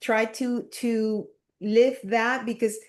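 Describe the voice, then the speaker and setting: Host type: a woman, native Spanish speaker with an accent, fairly high voice, unhurried, affirming, restarts often, on a video call. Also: flat delivery. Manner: encouraging, repeats herself, many fillers. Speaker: discussion group host. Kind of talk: casual group video call.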